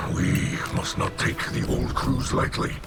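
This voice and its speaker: Over loud music comes a grave alien leader from a video game. He speaks slowly and formally, with a breathy solemnity. He sounds cautious and protective, like a father. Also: on the low side. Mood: worried, stern. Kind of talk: video game cutscene acting.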